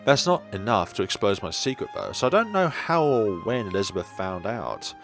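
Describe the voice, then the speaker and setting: Low, animated man reading a story aloud. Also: moderate pace, soft background music. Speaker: video game player reading the story aloud. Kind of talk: scripted narration read aloud over a visual novel.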